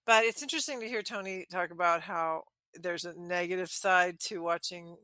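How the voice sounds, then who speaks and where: A congested woman with a cold talks casually on a podcast, her voice bright and forward. She laughs easily and fills gaps with ums and you-knows. The sound is close and dry. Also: lowish voice, normal speed, no room sound. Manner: chatty, laughs often, many fillers. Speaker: podcast panelist. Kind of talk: casual film discussion.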